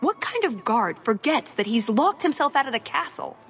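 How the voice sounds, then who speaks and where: A woman voicing a quick-witted, scheming young elf in a video game cutscene. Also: on the high side, very animated, fairly bright tone, faint music behind. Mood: conspiratorial.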